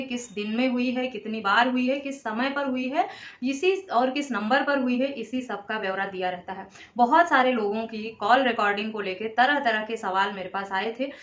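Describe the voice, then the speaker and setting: A woman giving a measured, instructive explanation to viewers, in the style of a legal awareness host. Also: fairly high pitch, a bit bright. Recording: echoey, reverberant room.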